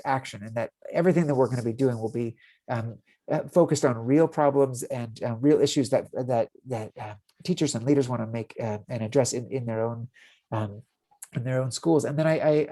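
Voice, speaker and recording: A man from the United States leads a webinar on a video call. His voice is mellow and warm at a middle pitch. He talks in halting bursts, often repeating words and restarting his sentences. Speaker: webinar facilitator. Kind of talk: live webinar presentation.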